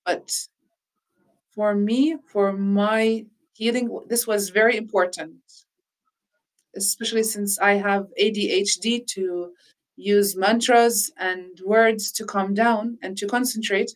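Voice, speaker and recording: Earnest woman speaking in measured phrases. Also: medium pitch, steady tone, rough recording. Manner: measured, earnest, with frequent restarts. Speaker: interviewee on healing practice. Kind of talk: reflective conversational talk.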